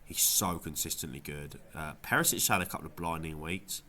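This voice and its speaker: A man's voice: extremely low pitch, brisk, bright, forceful tone, slight background noise. A man with a cold and a nasal voice, casually reviewing his fantasy football week in a vlog. He rambles a little, with lots of ums and restarts. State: has a cold, sounds nasal. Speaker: fantasy football video creator.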